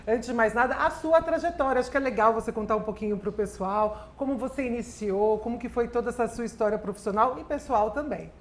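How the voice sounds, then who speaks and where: Higher-voiced woman hosting a podcast, bubbly and chatty. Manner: upbeat, chatty, quick interjections. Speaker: podcast co-host. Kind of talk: casual podcast hosting, ad read.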